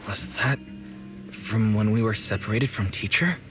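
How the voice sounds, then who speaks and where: Man as young male student, troubled, questioning, hushed, lowish voice, game drama, light music. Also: normal speed, close to an everyday voice. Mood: confused. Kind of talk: dramatic game cutscene acting.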